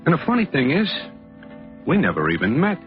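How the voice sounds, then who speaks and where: A man's voice: fairly high pitch, huge pitch swings, fairly quick, soft background music. An actor playing a jittery, slangy American salesman telling his story in a 1940s radio noir drama. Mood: haunted, agitated. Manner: agitated, slangy, tough-talking. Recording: dull vintage radio recording.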